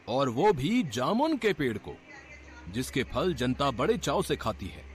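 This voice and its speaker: A man's voice: medium pitch, a little hiss or hum, natural voice. A man playing a self-important, by-the-book government bureaucrat in a cartoon story, speaking formally. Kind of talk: acted cartoon story dialogue.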